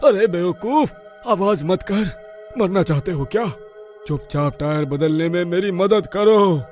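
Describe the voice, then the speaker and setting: A man's voice: high-pitched, a little slow, darkish tone, soft background music. A man playing a nervous, bossy village bus driver in a horror comedy story, scolding and warning anxiously. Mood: commanding.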